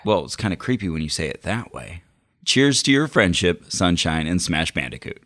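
A man co-hosting a podcast, reading book passages aloud in a measured, formal way. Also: low-pitched, big pitch swings, a bit bright, no room sound, clean studio sound. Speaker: podcast co-host.

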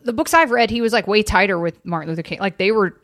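A woman's voice: medium pitch, fast talker, no room sound, studio quality. A woman chatting casually as a podcast guest, informal and opinionated, with plenty of slang. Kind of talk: casual chat.

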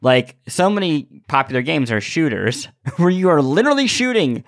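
A man's voice: a little high, big pitch swings, moderate pace, dry recording, studio quality. A man co-hosting a podcast, talking casually and analytically, often repeating words as he forms his argument. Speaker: podcast host. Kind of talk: casual opinionated discussion.

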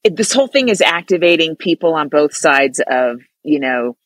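Female comic co-host, deep voice, lively storyteller, quick to laugh, dry close mic. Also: no echo. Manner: laughs often, animated storytelling. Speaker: comedian podcast co-host. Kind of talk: casual chat.

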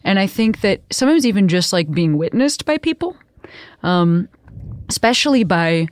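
A woman's voice: medium pitch, animated, normal speed, clean tone, no echo, clean studio sound. Rambling woman in her thirties hosting. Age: 30s. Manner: rambling, lots of "like" and "um", self-correcting. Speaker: podcast host. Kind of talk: casual interview chat.

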